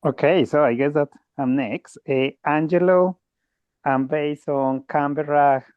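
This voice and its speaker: A man's voice: fairly high pitch, unhurried, smooth, no room sound. A man introducing himself as a debate panelist, hesitant, with frequent "eh" fillers. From Colombia. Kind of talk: casual self-introduction. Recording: tinny phone-like call audio.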